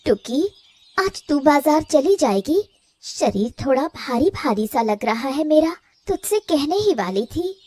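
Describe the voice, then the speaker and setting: A woman voicing a gentle, tired, self-sacrificing elder co-wife in a Hindi cartoon story. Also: sits high, sing-song, measured pace, no echo. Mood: weary.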